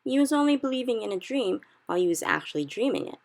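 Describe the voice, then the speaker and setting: A woman voicing a big, friendly male giant in a storybook reading, who speaks slowly and mangles his grammar. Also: fairly high pitch, very animated, vocal fry, pitched above the performer's own voice, heavily acted. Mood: stubborn. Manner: slow, odd jumbled grammar.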